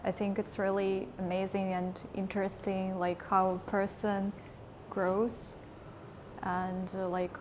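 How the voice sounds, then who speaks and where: A woman's voice: mid-range voice, completely flat delivery, a little slow, darkish tone, a little hiss or hum. A woman hosting an interview, asking questions and offering encouraging murmurs. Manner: encouraging, frequent back-channel murmurs. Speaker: interviewer, host. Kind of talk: casual interview.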